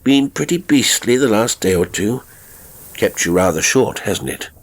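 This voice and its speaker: A man voicing a self-conscious gentleman visitor in a storybook, politely chatty in a clipped, old-fashioned way. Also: fairly low pitch, lively intonation, moderate pace, pitched above the performer's own voice. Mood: awkward, polite.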